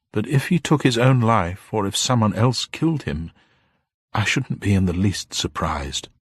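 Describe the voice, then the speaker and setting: Man voicing a stiff old gentlewoman, pitched up. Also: husky, no room sound, studio quality. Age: older. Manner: genteel, formal, solemn. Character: little old upper-class woman, grieving, stiff and proud. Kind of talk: dramatised audiobook character voice.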